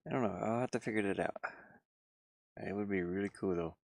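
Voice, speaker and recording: Male game dev streamer, gravelly, very dry close sound, hesitant off-the-cuff commentary. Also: fairly low pitch, a little fast, darkish tone, no room sound. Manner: rambling, musing, hesitant. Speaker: game developer live streamer. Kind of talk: casual live stream commentary, thinking aloud.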